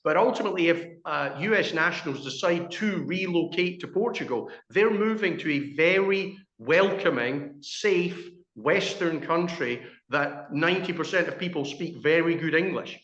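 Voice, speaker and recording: Man, quick chatty questions, fund advisor, echoey room, dull lo-fi sound. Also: a little high, lively intonation, a bit bright. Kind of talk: webinar discussion.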